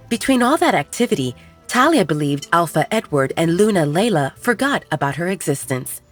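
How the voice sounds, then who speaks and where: Female narrator, lower voice with a lively melodic range, steady storybook read over faint music. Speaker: audiobook narrator.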